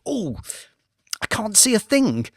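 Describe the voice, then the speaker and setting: Man as anxious naive fiancé, bewildered, questioning, pitched up, animated, audiobook thriller character. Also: slow, no echo, studio quality, full character voice. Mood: flustered.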